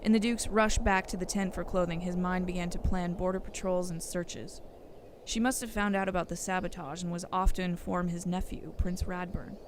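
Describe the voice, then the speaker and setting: A woman's voice: fairly low pitch, steady tone, moderate pace. A woman reading scripted storybook narration for an audio drama, on a noisy recording.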